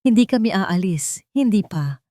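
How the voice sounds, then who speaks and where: A woman storyteller voicing a wronged wife who is coldly calm and determined. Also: medium pitch, moderate pace, no echo, studio quality, pitched above the performer's own voice, natural voice.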